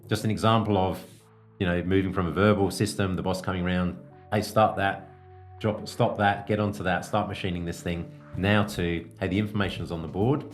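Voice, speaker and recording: A man with a low voice talks like a business coach hosting a podcast, with faint guitar music behind him. He explains things in a plain-spoken, informal way, feeling his way through phrases and adding casual asides. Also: fairly even intonation, a little fast.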